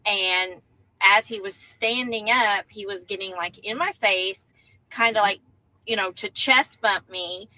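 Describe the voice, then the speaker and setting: Shaken girl telling what happened, halting, lots of likes, bright edgy voice. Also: medium pitch, no echo, low-quality recording. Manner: halting, filler-heavy. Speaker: media interviewee giving eyewitness account. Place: outdoors by a courthouse.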